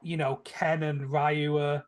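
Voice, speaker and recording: A man's voice: fairly high pitch, flat delivery, slow. A man chatting casually and enthusiastically about films as a podcast panelist, rambling a little as he goes. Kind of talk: casual film discussion.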